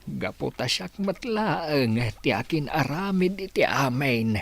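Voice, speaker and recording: Slow, sorrowful old Ilocano father acted by a man. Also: fairly high pitch, expressive, gravelly, fairly bright tone, heavily acted. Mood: sad, weary. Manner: slow, emotional, pleading. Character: frail, sorrowful old village father near death, longing for his daughter. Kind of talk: Ilocano radio drama acting.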